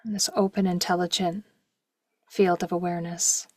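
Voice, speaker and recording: A woman leading a guided meditation slowly and gently in short, soft phrases, with a breathy voice that at times nears a whisper. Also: lowish voice, level tone, no echo. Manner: slow, gentle, with long pauses and short phrases.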